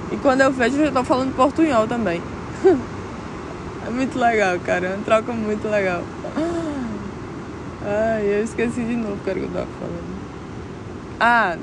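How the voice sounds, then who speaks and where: A woman's voice: medium pitch, noisy background. A young woman vlogging outdoors in a casual, slang-filled reflective chat, speaking directly to her viewers. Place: outdoors on a clifftop by the beach.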